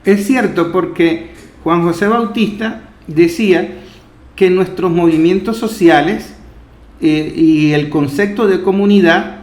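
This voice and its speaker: A man's voice: high-pitched, slow pace, darkish tone. A man hosting a radio talk, explaining reflectively and building emphatic lists. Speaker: radio host and interviewer. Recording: echoey room sound.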